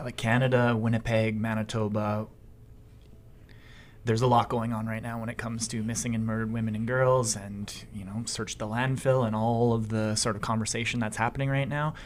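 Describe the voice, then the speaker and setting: A man hosting an interview, asking long, rambling questions in a conversational, encouraging way. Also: lowish voice. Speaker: host.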